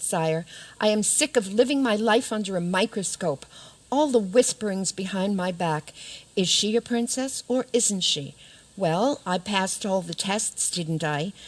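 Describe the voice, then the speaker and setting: A woman acts out a vain storybook princess for a radio comedy. Her voice sits at a middle pitch. She is haughty and indignant, pressing her grievances formally. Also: lightly acted, rough recording. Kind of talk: comic community radio theater.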